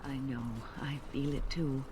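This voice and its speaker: An actor playing a steadfast, consoling warrior leader in a fantasy video game, measured and earnest. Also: male voice, mid-range voice, level tone, a little mellow, lightly acted. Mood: grave, resolute.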